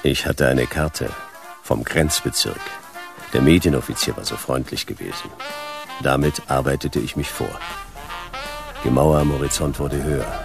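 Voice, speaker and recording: An actor playing a laconic, world-weary detective who narrates a radio drama in terse, deadpan phrases. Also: male voice, extremely low pitch, normal speed, gravelly, pauses often, music in the background. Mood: tense, brooding.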